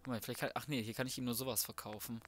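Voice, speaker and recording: A man's voice: mid-range voice, steady tone, racing, fairly bright tone. A man giving casual let's play commentary, thinking aloud in slang with some swearing. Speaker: gaming video host.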